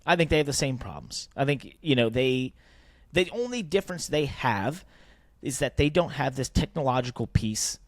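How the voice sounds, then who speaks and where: A man speaks as a podcast co-host in a casual sports business discussion. His voice sits at an average pitch, and he explains things conversationally, posing questions as he goes. The sound is very dry and close. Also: sing-song, normal speed, hardly any pauses, no room sound.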